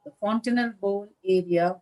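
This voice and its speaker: A woman leading a guided meditation as a teacher, speaking in a calm, measured manner with long pauses between short phrases. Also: medium pitch, poor audio. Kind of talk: guided meditation instruction, live session.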